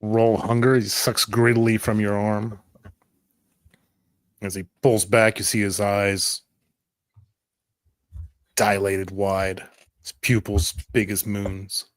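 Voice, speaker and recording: A man's voice: lowish voice, level tone, takes it slowly, long pauses, no room sound. A man narrating a tabletop horror game as its game master.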